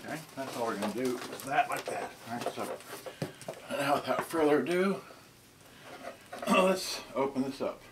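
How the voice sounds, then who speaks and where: A man in his sixties chatting casually and haltingly to a camera, muttering to himself as he handles things during an unboxing. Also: medium pitch, lively intonation. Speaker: video host, boombox collector. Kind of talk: casual unboxing and show-and-tell chat. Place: cluttered indoor storage room. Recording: noisy room recording with clicks.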